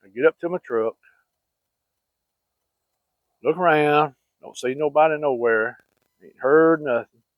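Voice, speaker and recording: A man's voice: a little high, measured pace, pauses often, no room sound. A middle-aged man telling a rambling, folksy story with many fillers and restarts. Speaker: channel host telling hunting stories. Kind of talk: casual storytelling. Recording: dry, phone-like sound.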